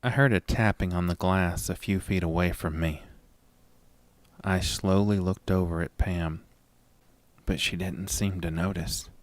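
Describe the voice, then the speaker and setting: A man reading a scripted first-person horror story in a dramatic, deliberate storytelling manner. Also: low voice, fairly even intonation, a little hiss or hum. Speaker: narrator and lead of a horror audio story.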